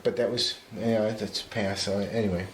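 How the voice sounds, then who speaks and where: A man's voice: lowish voice, steady tone, normal speed, background noise. A man from Mexico, chatting casually as a guest on a live stream, easygoing and full of fillers. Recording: roomy echo.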